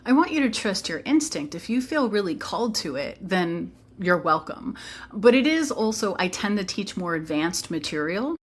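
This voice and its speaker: A woman teacher presenting her program informally, explaining earnestly with conversational asides. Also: mid-range voice.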